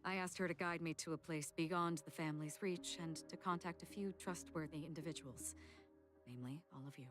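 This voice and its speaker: Game role: calm, mysterious exiled swordswoman played by a woman, very low pitch, formal and measured, music underneath. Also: natural voice. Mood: calm, earnest. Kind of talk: scripted game voice acting. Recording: music behind the voice.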